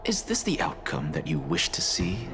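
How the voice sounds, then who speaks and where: A man's voice: low-pitched, huge pitch swings, moderate pace. An actor playing a sly, self-assured male scholar and schemer in a video game, smug and theatrical in manner. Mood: smug, persuasive. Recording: loud music under the voice.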